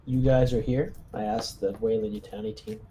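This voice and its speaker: A man's voice: lowish voice, steady tone, moderate pace, mellow, a little hiss or hum. A man playing a tabletop roleplaying game, talking casually as he plans out what his character does.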